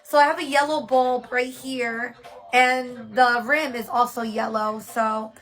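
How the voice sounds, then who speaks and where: A woman's voice: fairly high pitch, level tone, unhurried, fairly bright tone, soft background music. A woman from New York hosting her own livestream, chatting casually and rambling a little with fillers. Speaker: livestream host. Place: home room with yellow lighting.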